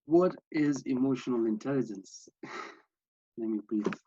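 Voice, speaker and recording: A man's voice: mid-range voice, unhurried, warm tone, no echo. A young man from Kenya with a Kenyan accent, earnestly presenting an online workshop over a video call, with many fillers and restarts. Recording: slightly dull lo-fi sound.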